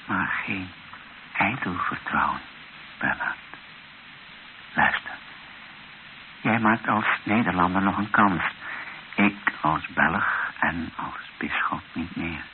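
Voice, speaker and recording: Een man die in een hoorspel een broze, plechtige bisschop speelt die nadrukkelijk en haperend zijn laatste boodschap uitspreekt. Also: low-pitched. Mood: earnest, resigned. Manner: halting, solemn, emphatic repetitions. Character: frail, solemn dying Belgian bishop giving his last testament. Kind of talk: radio play acting. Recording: muffled, hissy old radio recording.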